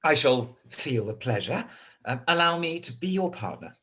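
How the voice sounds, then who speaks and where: An actor playing a stiff, formal young gentleman. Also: medium pitch, very animated, normal speed.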